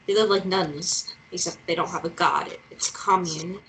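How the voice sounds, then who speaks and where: A woman's voice: fairly low pitch, fairly even intonation, moderate pace, a bit bright, close to an everyday voice, low-quality recording. Stubborn young woman played by an actress, faint background noise. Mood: guarded. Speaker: actor in a screenplay table read.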